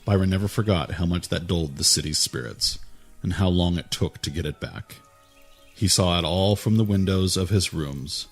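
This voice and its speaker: A man reading a fantasy story aloud in a calm, even way, with a husky voice. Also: deep voice, normal speed, soft background music. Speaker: narrator. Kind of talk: storybook narration.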